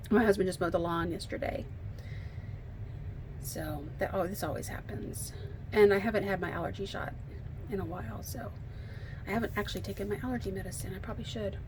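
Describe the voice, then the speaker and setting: A woman's voice: medium pitch, fairly quick, long pauses, a little mellow, a little hiss or hum, poor audio. A woman with a slightly froggy voice, chatting casually while she demonstrates card making at a home craft table, thinking aloud and poking fun at herself. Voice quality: slightly froggy, hoarse from allergies. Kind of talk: casual craft tutorial chat.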